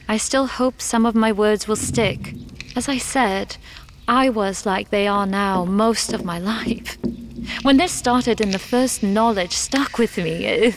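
A woman playing an earnest, courteous village oracle in an audio drama, speaking in a gentle, measured and articulate way. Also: medium pitch, noisy background, close to an everyday voice.

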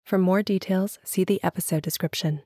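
Female announcer for a content notice: warm, slightly low, dry studio read. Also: steady tone, brisk, dry recording. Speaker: announcer reading listener advisory. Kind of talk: scripted announcement.

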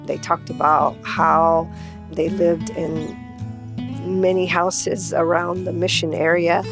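A woman's voice: on the low side, little pitch movement, slow pace. An older woman telling her family's story as a personal remembrance. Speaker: relative giving a remembrance. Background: plucked guitar music.

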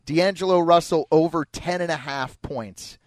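A man's voice: a little high, moderate pace, no echo, clean studio sound. A man with an American accent hosting sports talk radio with chatty banter.

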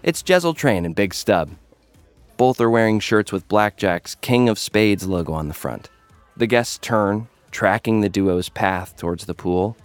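A man's voice: on the low side, lively intonation, a little slow, clear, resonant tone, dry recording. An actor playing Danny, a cocky, jaded young American influencer who fights for his followers, narrating an audio drama in a wry, conversational way. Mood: tense narration. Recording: music bed behind the voice.

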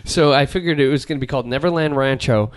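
Dry-voiced rambling middle-aged podcast host. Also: male voice, mid-range voice, quick pace, dry recording. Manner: rambling, deadpan, trails off and restarts. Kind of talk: casual joking banter. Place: home garage studio.

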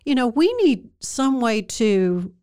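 Chatty woman host with a clear voice. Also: a little high, very animated, takes it slowly, warm tone. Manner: chatty, rambling, frequent restarts. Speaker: interview host. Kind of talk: casual interview chat.

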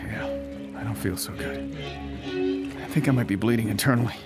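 An actor playing a nervy, determined conspiracy investigator on the run in an audio drama, speaking in a halting, earnest way. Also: male voice, fairly low pitch, over loud music, close to an everyday voice. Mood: weary.

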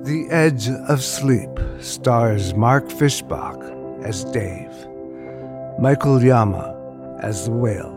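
A man's voice: mid-range voice, drawn-out words. A man giving a scripted read of show credits as an announcer. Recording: music behind the voice.

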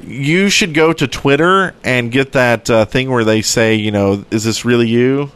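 Smooth, clear-voiced man hosting a podcast. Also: mid-range voice, a little slow, a bit bright. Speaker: podcast co-host. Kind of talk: casual podcast chat and announcements.

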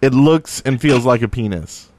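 A man's voice: medium pitch, lively intonation, moderate pace, a little mellow, dry recording. A man co-hosting a podcast, chatting casually about games in a joking, teasing way. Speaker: podcast co-host. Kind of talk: casual gaming podcast chat.

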